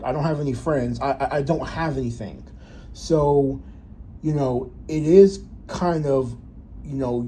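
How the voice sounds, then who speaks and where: Vlogger in his thirties, warm low-key voice, reflective and stop-start, faint room hum behind. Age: 30s. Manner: halting, reflective, many restarts and fillers. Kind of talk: personal reflective vlog.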